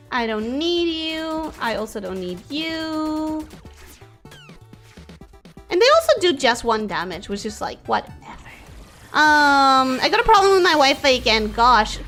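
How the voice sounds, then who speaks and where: A young woman streaming a game, her first language Spanish, with a high, bright voice that leaps all over the place. She chats away casually, reacting to the game and answering chat. Faint game music plays underneath. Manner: chatty, reacts to the game and reads chat aloud.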